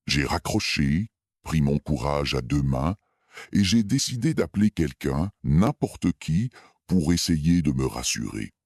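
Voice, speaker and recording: A man with a very deep voice narrates a scripted scary story in the first person. He speaks slowly and with measured suspense, in a dry recording with no room sound. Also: dark tone, no echo.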